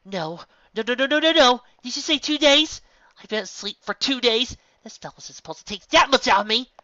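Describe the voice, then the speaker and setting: A woman putting on a fretful young unicorn mare, her voice jumping high and wildly lively as she pleads in a breathless rush. The recording is dry and a little dull. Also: fast talker, dry recording. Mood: panicked. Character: anxious bookish young female unicorn.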